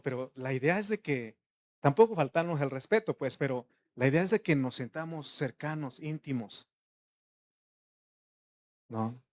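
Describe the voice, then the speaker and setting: Conversational preacher, rhetorical questions. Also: male voice, medium pitch. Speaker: pastor. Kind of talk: informal sermon.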